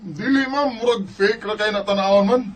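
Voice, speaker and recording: Actor as breezy, high-pitched young Bisaya photographer. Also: male voice, normal speed, distant mic, slight background noise, close to an everyday voice. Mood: coaxing. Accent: Bisaya Filipino. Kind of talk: Filipino radio drama acting. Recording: music bed under the voice.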